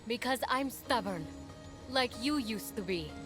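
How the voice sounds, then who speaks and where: Casting: young mortal hero for animated game, earnest and encouraging, high voice. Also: female voice, measured pace, over music. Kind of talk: animated video game acting.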